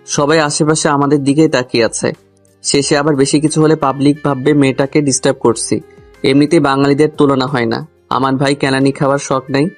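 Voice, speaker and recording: A man's voice: medium pitch, level tone, brisk. A man narrating a comic love story in the first person, grumbling and talking straight to the listener. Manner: grumbling, addresses the listener directly. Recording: music under the voice. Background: background music.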